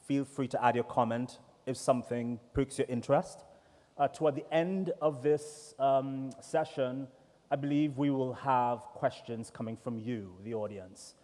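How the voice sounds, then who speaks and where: A man from Trinidad and Tobago with a Trinidadian accent, chatty and relaxed, moderating a conference panel at a desk microphone. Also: medium pitch, measured pace. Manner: relaxed, chatty, with many fillers. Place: large conference hall. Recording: echoey hall reverb.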